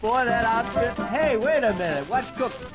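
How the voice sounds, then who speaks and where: A man's voice: very high voice, lively intonation, normal speed, over loud music. A man with an American accent announcing an old-time radio show in folksy, theatrical cowboy slang. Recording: noisy old radio recording.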